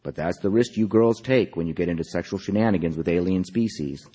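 A man's voice: sits low, a little fast, warm tone, husky. A man voicing a smug, avuncular middle-aged doctor from an old educational film in a patronizing manner. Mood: smug warning.

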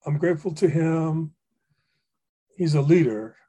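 An older man from Hawaii telling stories about fellow poets in a relaxed conversation, with frequent fillers and restarts. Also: fairly high pitch, a little slow, husky. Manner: rambling, many fillers and restarts, warm anecdotes. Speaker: poet and guest. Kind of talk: reflective storytelling conversation.

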